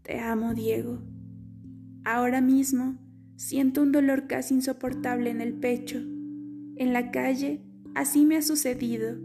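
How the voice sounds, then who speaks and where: A woman reading a literary letter aloud in a soft, slow, wistful way. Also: sits high, fairly even intonation, over music. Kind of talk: scripted audiobook reading.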